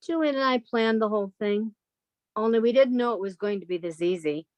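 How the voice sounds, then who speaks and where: A woman's voice: fairly high pitch, brisk, no echo, close to an everyday voice. A woman playing a resentful younger sister breaking free, cool and pointed in an old time radio drama style. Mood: coolly triumphant.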